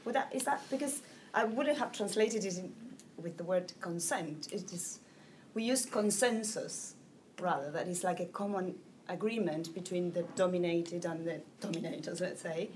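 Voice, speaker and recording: A woman's voice: on the low side, moderate pace, a little mellow. A woman who speaks English as a second language, asking questions in a class discussion, tentative and searching for words. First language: not English. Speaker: seminar participant. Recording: noticeable background room noise.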